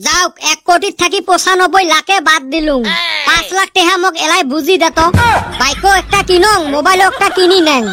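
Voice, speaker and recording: A woman's voice: very high voice, brisk, over loud music. A woman with a Rajbongshi accent voicing a cheeky, money-grabbing young village son in a comic cartoon. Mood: brazen, demanding. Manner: demanding, brazen.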